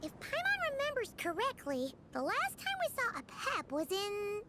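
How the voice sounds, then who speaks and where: A woman's voice: extremely high pitch, wildly swooping pitch, moderate pace, heavy background noise, heavily acted. A woman voicing a small, childlike, chirpy fairy companion in an anime-style video game, eager and exclaiming. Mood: eager, hopeful. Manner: refers to self in third person, exclaiming.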